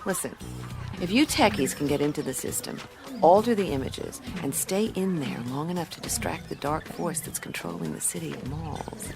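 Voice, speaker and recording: An actress playing a tough, cocky galactic detective in a radio play, with a husky voice and wry, deadpan delivery. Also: female voice, sits low, normal speed. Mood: confident, cool. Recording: music underneath.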